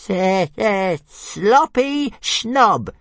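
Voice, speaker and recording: A man's voice: sits high, lively intonation, very slow, fairly bright tone, no room sound, voice pushed up, full character voice. A man voicing a small, boastful male dragon who stutters on the first sounds of his words. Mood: jeering.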